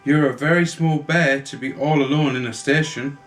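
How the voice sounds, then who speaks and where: A man's voice: on the high side, fairly even intonation, moderate pace, soft background music, natural voice. A man voicing a kindly, decisive married woman in a children's storybook, concerned and ready to take charge. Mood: surprised, curious. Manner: exclaiming, concerned, takes charge.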